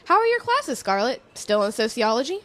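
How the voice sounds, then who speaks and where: Kindly female professor, audio drama, high voice, sing-song animated pitch, fond, teasing. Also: brisk. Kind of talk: naturalistic audio drama acting.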